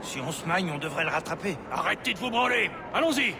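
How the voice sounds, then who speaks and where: A rough French thug on the hunt, brusque and urgent. The voice is strained very high, amid clear outdoor street noise. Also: male voice, lively intonation, quick pace. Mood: brusque impatience.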